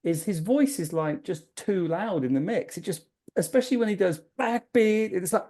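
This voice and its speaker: A man hosting a podcast, chatting in a friendly, rambling way with quick asides. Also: high voice, expressive, mellow. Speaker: podcast host. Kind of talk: casual chat. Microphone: video call.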